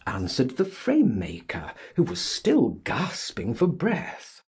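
A man narrating a literary audiobook in a dramatic, theatrical style with deliberate phrasing. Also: medium pitch, huge pitch swings, breathy edge.

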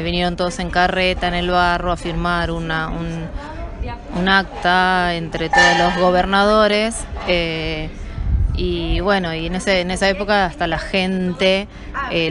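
A woman answering interview questions at an event, hesitant and halting, often repeating words. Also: on the low side. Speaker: event attendee being interviewed. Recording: chattering crowd at an event.

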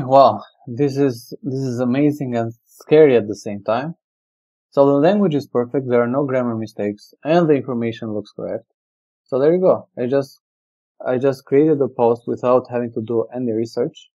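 A man whose native language is Albanian walks through a product demo on his screen, thinking aloud with plenty of "okay" and "uh". His voice is mellow and unhurried at a middling pitch. The sound is dull and lo-fi, picked up by a webcam mic in an indoor room. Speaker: software company presenter.

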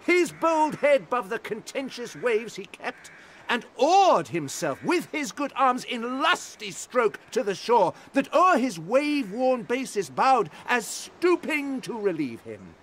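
A man's voice: very high-pitched, very animated, slow pace, a bit bright, a little hiss or hum, exaggerated voice. A man playing an earnest, hopeful courtier in a Shakespearean audio play, with a declamatory delivery. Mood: hopeful, stirring.

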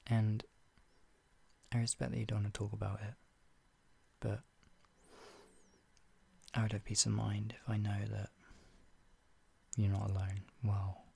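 A young man gently comforting the listener in a whispered roleplay, speaking in a soothing, caring way. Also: lowish voice, creaky, husky.

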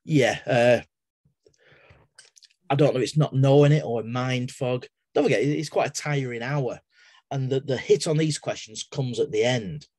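A man's voice: mid-range voice, darkish tone, breathy edge, no echo. Chatty English man on a webcam call. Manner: chatty, digressive, with everyday analogies and frequent restarts. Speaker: webinar guest speaker, exam assessor. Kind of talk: casual explanatory talk in a webinar. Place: home study with bookshelves. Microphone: laptop webcam on a video call.

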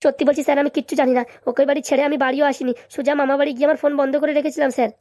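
Woman doing a timid cartoon son, super fast, high voice, stammery and pleading. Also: level tone, darkish tone, no room sound, clean studio sound, natural voice. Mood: frightened, pleading. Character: timid, cowardly grown son, pleading and gullible. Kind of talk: comic cartoon dialogue.

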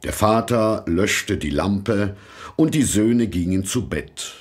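A man narrating a fairy tale from a script in a measured, expressive storytelling manner. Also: fairly low pitch.

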